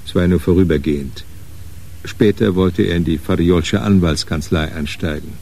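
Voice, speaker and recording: A man narrating a radio crime drama in a sober, matter-of-fact, measured way. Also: low-pitched, background noise. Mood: wry, reflective. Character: sober narrator of a hard-boiled crime story.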